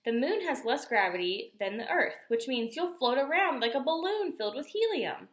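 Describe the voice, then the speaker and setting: A woman's voice: high voice, very animated, moderate pace, roomy sound, pitched above the performer's own voice. A woman voicing an earnest, polite, bookish young girl who explains things matter-of-factly in a storybook. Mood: earnest, helpful.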